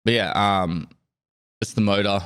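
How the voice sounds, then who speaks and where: A man with a stuffy nose from a cold, chatting casually on a live stream and thanking subscribers in a rambling, relaxed way. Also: fairly low pitch, unhurried, clear, resonant tone.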